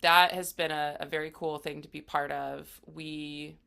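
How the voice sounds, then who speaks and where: A woman's voice: sits low, little pitch movement, a little slow, gravelly, pushed and bright, smooth. An American woman, around her thirties, chatting and explaining things casually as an interview guest. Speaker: interview guest, guitarist and songwriter.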